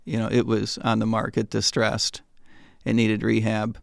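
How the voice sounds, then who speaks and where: A man's voice: lowish voice, completely flat delivery, normal speed, creaky, no room sound, studio quality. A man in his sixties, a retired economist, telling stories as a guest in a casual interview and often repeating words when he starts a sentence.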